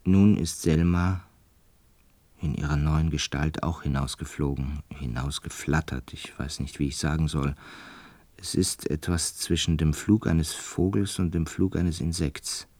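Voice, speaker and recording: Very deep, weary lonely man. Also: fairly even intonation, darkish tone, dry recording. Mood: melancholic, resigned. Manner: halting, reflective, often near whispering. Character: lonely, weary older male lodger, gently melancholic. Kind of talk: German radio play monologue.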